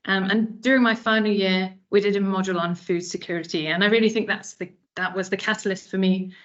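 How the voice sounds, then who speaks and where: A woman in her thirties from South Wales talks through a science presentation in a measured, explanatory way, pausing on ums. Her voice sits at an ordinary pitch for a woman. The audio is dull and lo-fi, like a webinar feed. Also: steady tone. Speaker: genomics specialist presenting a webinar.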